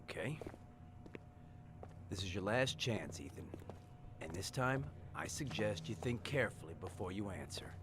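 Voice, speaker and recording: An actor playing a brutal, hot-tempered police detective in a video game, menacing, explosive and foul-mouthed. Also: male voice, lowish voice, animated, moderate pace, long pauses, background noise. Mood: cold menace. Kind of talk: dramatic video game acting.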